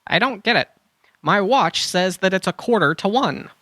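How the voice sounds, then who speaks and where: A woman as a cheerfully clueless, animated adventurer hero. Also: mid-range voice, normal speed, no echo, studio quality. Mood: clueless, eager. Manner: earnest, oblivious, upbeat. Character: Chattanooga, cheerfully clueless, overconfident treasure-hunting adventurer hero. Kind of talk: comedic children's audio drama acting.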